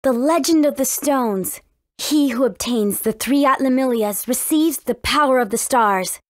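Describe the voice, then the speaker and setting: Young game heroine: high pitch, earnest, forthright, dry sound. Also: female voice, a little slow, dry recording. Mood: resolute. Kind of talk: video game voice acting.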